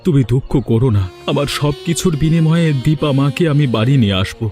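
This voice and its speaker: A man's voice: fairly high pitch, expressive, a little fast, a little mellow, lightly acted. A man voicing a devoted, worried village father in a melodramatic cartoon, earnest and reassuring. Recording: music behind the voice.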